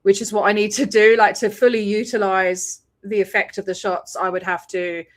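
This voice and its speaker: A woman's voice: mid-range voice, flat delivery, normal speed. An Australian woman hosting a casual video call chat, talking freely with many fillers and frequent laughs. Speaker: host.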